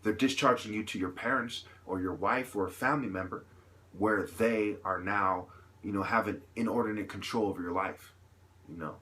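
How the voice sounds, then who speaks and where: A man delivering an impassioned, unscripted opinion monologue as a vlogger, with halting phrasing, repeated points and rhetorical questions. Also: lowish voice, a bit bright.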